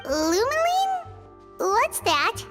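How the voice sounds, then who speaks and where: A squeaky, very high childlike voice as a cheeky little companion creature in a video game. It bounces wildly up and down in exclamations, with music clearly behind. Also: female voice, wildly swooping pitch, very slow, exaggerated voice. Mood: cheeky puzzled.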